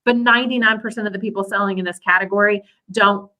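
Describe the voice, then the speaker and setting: A woman's voice: mid-range voice, fairly even intonation, fast, bright, forceful tone. A woman guest explaining her field in a conversational interview, carefully qualifying her points as she goes. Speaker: guest, seller and coach.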